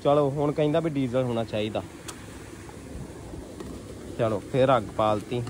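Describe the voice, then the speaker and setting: A man's voice: medium pitch, moderate pace, noisy background. A young man from Punjab, teasing and joking in casual chat as he vlogs cooking outdoors at a farm. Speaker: vlogger. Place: outdoors by a farm tubewell, village fields.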